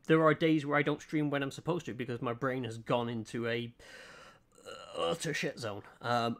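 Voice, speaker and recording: A man's voice: medium pitch, fairly bright tone. Earnest, hesitant man streaming. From United Kingdom. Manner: earnest, hesitant, many ums and restarts. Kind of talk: casual live-stream chat while drawing.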